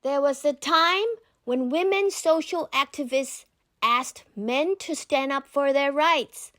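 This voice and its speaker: A woman voicing an earnest, determined teenage girl activist giving a measured, declamatory speech in a read-aloud storybook. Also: high-pitched, a bit bright, pitched above the performer's own voice. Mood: emphatic, determined.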